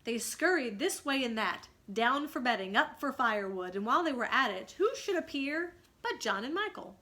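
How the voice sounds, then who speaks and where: A woman with a bright, forceful voice narrates a children's story. She reads expressively in a teacher's explanatory way, putting questions to her young listeners. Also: sits high, lively intonation, normal speed. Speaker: read-aloud teacher.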